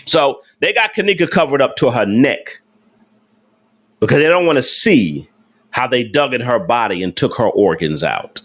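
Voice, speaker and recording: A man's voice: mid-range voice, animated, moderate pace, fairly bright tone. Guy on a live stream, slangy and emphatic, keeps hammering the same question at chat. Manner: slangy informal speech. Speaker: livestream host. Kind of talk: casual livestream talk to viewers.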